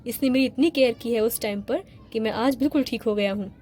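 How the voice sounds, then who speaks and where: A woman's voice: on the high side, a little fast, warm tone, close to an everyday voice. A woman voicing a confident, reassuring husband in a doll story.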